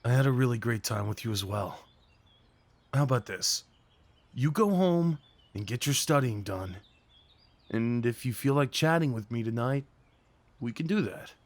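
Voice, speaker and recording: A man playing a shy, sweet gentleman on a first date in an ASMR roleplay, speaking in an earnest, hesitant, tender way. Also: medium pitch, animated, moderate pace, long pauses, dry recording, close to an everyday voice. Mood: warm, easygoing. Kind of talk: ASMR roleplay, intimate scripted acting.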